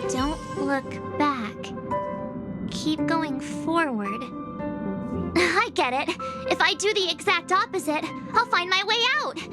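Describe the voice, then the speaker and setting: A woman's voice: very high voice, animated, slow pace, loud music behind the voice, exaggerated voice. A woman playing a bubbly, playful teenage girl adventurer in a video game, theatrical and pleased with herself. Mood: determined, pleased.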